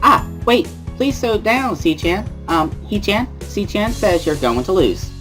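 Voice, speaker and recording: A woman playing a bubbly, excitable teenage schoolgirl in a dramatic reading of a visual novel, with a singsong, giggly manner. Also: medium pitch, slow, faint music behind. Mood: flustered. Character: bubbly teenage schoolgirl relaying a friend's signed words.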